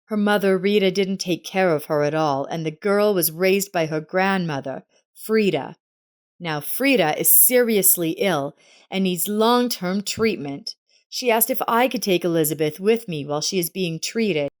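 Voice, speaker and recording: A woman's voice: on the low side, measured pace, close to an everyday voice. A woman voicing a remorseful, anxious husband in a narrated family drama story. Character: remorseful, anxious husband confessing to his wife.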